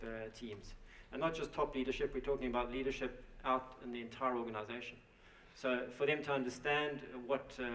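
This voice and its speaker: A man giving a conference presentation for his company, speaking haltingly with many fillers and restarts. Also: medium pitch, a bit bright. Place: conference hall. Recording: echoey hall, mic at a distance.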